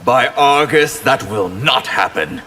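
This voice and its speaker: An actor playing a gruff, scornful, unyielding warrior in fighting-game dialogue. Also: male voice, medium pitch, lively intonation, slow. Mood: defiant. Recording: long reverb, loud noise.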